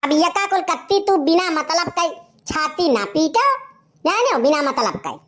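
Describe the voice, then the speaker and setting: A woman's voice: very high voice, expressive, measured pace, a bit bright, full character voice. A woman playing a sassy, impatient young village woman in a cartoon comedy, scolding brazenly with an Awadhi accent. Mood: scolding, dismissive. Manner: scolding, brazen, repetitive catchphrases. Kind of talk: animated comedy dialogue.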